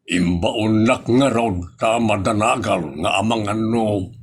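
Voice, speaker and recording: Reluctant Ilocano grumbler, played by a man, low-pitched, very slow, drawling, comedy drama. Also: breathy edge. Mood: defensive.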